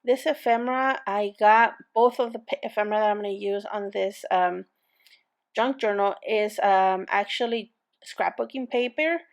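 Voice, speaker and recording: A woman's voice: mid-range voice, normal speed. A woman with an accent hosting a casual crafting tutorial, chatty and rambling with many fillers and restarts. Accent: non-native English accent. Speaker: crafting channel host.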